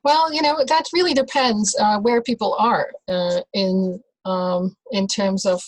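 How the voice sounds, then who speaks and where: A woman from the Netherlands, a doula, talking as an interview guest in a slow, hesitant way with many fillers and restarts. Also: mid-range voice, fairly even intonation, no room sound, poor audio. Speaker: guest, birth and rebirth doula. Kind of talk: casual conversational interview.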